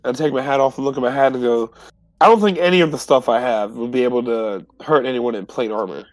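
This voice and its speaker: A man's voice: mid-range voice, quick pace, dry recording, low-quality recording. A man playing a tabletop game, casually describing what his character does and chatting with the group.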